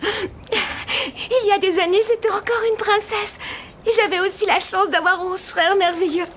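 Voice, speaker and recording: A woman's voice: very high voice, fairly even intonation, measured pace, a bit bright, a little hiss or hum. A woman playing a sweet, earnest young princess in a cartoon fairy tale, polite and emotional. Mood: heartbroken. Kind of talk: animated fairy tale acting.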